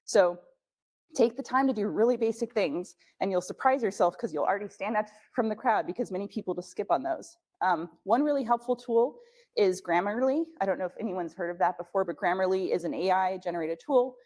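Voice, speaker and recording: A woman's voice: mid-range voice, lively intonation, a little fast, darkish tone. A young woman whose first language is German, giving casual, frank advice at a scholarship workshop with plenty of fillers. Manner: chatty, frank, many fillers.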